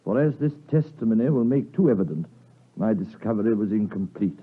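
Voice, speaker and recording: A British man playing a sober, measured gentleman investigator in a 1950s radio drama. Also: fairly low pitch, expressive, natural voice. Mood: grave discovery. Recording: muffled vintage radio broadcast, music behind.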